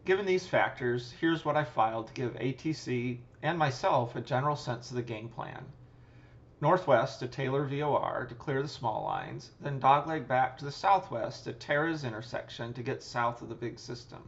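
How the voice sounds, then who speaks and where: A man narrating a scripted flight review as a pilot and host, in a measured, matter-of-fact, technical way. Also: medium pitch, gravelly, highs cut off.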